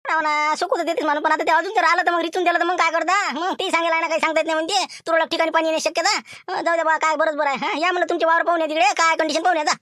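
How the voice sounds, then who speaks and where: A woman plays a folksy, worried farmer in a village cartoon comedy. The rural Vidarbha Marathi speech comes fast and colloquial, full of grumbling, in a close, dry recording. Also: extremely high pitch, flat delivery, pushed and bright, no room sound, pitched above the performer's own voice. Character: worried Marathi village farmer men chatting about crops.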